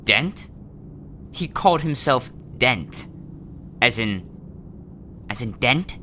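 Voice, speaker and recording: A man playing an earnest, touchy robot warrior in an audio drama, with a smooth-talking, slightly wry manner. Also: medium pitch, expressive, slow pace, sharp tone, a little hiss or hum. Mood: incredulous.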